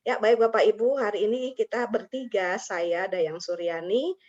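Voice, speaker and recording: A woman's voice: mid-range voice, moderate pace, a little mellow. A woman hosting an online teacher webinar, chatty and friendly, calling on participants by name. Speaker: webinar host and teacher trainer. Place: video call. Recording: dull lo-fi video call audio.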